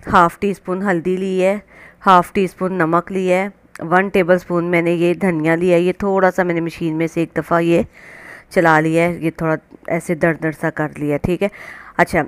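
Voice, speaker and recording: A woman giving a casual, step-by-step recipe narration as a home cook, in a conversational, instructional manner. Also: lowish voice. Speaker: home cook hosting a cooking channel. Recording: clear background noise, kitchen ambience.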